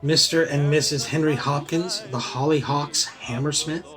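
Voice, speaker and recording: An actor playing a plucky, wry gentleman on the run in an audio play. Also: on the high side, steady tone, normal speed, music in the background, lightly acted. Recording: noisy amateur home recording, clicks.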